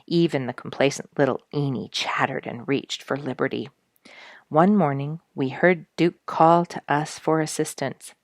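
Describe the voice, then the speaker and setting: A woman narrating an animal story as an audiobook, expressively, with dramatic delivery that dips into hushed tones. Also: deep voice, normal speed, no room sound, clean studio sound. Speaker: storyteller, show regular.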